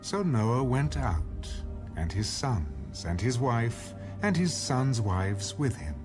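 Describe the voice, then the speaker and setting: A man narrating scripted scripture in a measured, dramatic storytelling style, in a produced recording with music underneath. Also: mid-range voice, very animated.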